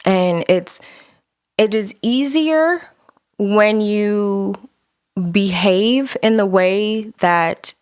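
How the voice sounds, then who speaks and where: A young American woman talking casually as a podcast guest, her voice sometimes dropping to soft asides. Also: medium pitch, extremely slow, clean tone, no echo, clean studio sound. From United States. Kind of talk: casual interview conversation.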